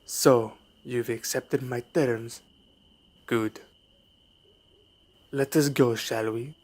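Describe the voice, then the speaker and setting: A man playing a suave, sinister vampire in an ASMR roleplay, speaking formally and courteously with quiet menace. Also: medium pitch, steady tone, extremely slow. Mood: courteous, sly. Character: suave, sinister male vampire luring a traveler. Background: night forest ambience.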